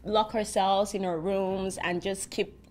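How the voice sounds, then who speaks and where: A woman's voice: mid-range voice, fairly even intonation, a little slow, darkish tone. A woman, speaking emphatically in an earnest interview and repeating phrases for effect. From Nigeria. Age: 20s. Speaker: university student interviewee. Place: indoors by a large window.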